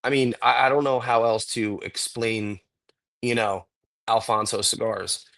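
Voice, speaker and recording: A man co-hosting a podcast, a little hoarse and congested from a cold, rambling enthusiastically in casual chat with lots of fillers. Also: fairly low pitch, steady tone, a little slow, a bit bright. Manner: rambling, enthusiastic cigar talk with many fillers. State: getting over a cold, a bit hoarse and congested. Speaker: podcast co-host.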